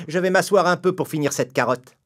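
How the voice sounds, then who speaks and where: An actor voicing a boastful, mocking, lazy hare in an animated fable, with a smug theatrical delivery. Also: mid-range voice, normal speed, close to an everyday voice. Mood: smug, relaxed. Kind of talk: animated fable character acting.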